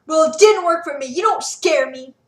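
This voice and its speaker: A woman's voice: high-pitched, brisk. Woman voicing a feisty young girl. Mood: defiant. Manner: defiant, yelling. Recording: roomy echo, distant mic.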